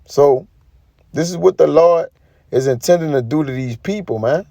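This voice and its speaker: A man's voice: fairly high pitch, moderate pace, creaky, warm tone. A man giving an informal sermon, reading scripture and commenting in an emphatic, colloquial way with frequent tag questions. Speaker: preacher.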